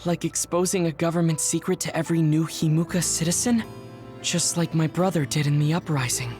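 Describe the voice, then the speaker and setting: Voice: medium pitch, steady tone, fairly quick, a little mellow, faint music behind, lightly acted. A performer playing a sincere young platoon member in a video game dub, polite and reflective. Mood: calm, reflective.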